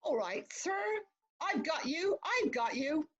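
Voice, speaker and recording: Casting: woman as English male clerk, farce; highish, lively inflection, brisk, soothing, respectful. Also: dull audio, full character voice. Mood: brisk reassurance. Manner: deferential, chivvying. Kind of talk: theatrical farce reading.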